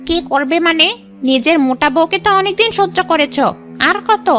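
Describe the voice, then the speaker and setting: A scheming older stepmother from a cartoon, acted by a woman, very high and piercing in pitch. She is greedy and calculating as she coaxes, over faint background music. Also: lively intonation, moderate pace, a bit bright. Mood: persuasive, fed up. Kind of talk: Bengali cartoon dialogue.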